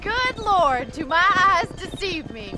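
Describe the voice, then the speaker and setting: Spirited American cowgirl, wry and teasing, very high lively voice, music underneath. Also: slow, full character voice. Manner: wry, plain-spoken. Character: spirited, teasing ranch woman in a western. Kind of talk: video game cutscene acting.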